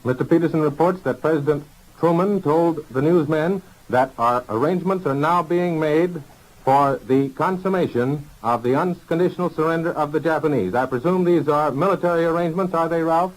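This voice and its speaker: A man delivering a live 1940s network radio news bulletin with formal, deliberate announcing from a newsroom. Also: fairly high pitch, poor audio. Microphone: broadcast studio microphone.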